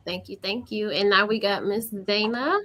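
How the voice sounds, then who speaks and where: A woman's voice: medium pitch, fairly even intonation, normal speed, fairly bright tone. A woman hosting a live online talk show over a video call, upbeat as she thanks her guests and wraps up the show. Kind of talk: casual live-stream chat.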